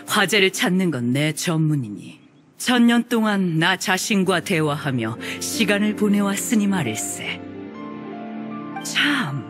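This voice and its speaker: An actress playing an ancient, playful female immortal in a game, with stately, archaic phrasing and teasing amusement. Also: lowish voice. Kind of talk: Korean game voice acting. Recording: music behind the voice.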